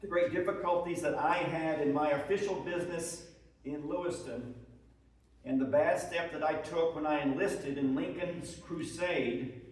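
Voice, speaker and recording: A man's voice: a little high, moderate pace, close to an everyday voice. A man dramatically reading letters as a weary, homesick Civil War soldier husband, earnest and theatrical, aggrieved yet tender. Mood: remorseful, troubled. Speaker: reader at a historical presentation. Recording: echoey room, distant microphone.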